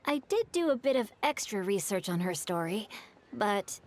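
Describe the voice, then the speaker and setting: A woman's voice: medium pitch, very animated, moderate pace, natural voice. A woman voicing a polite, bookish young man in a video game, speaking in formal, thoughtful, storytelling phrases. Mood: measured, curious. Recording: game dialogue over background music. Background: game music.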